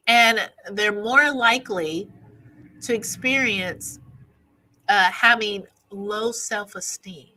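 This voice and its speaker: A woman therapist giving an educational talk, sounding stuffy from allergies and pausing often on "uh" with frequent restarts. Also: a little high, sharp tone, low-quality recording. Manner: halting, with frequent "uh" fillers and restarts.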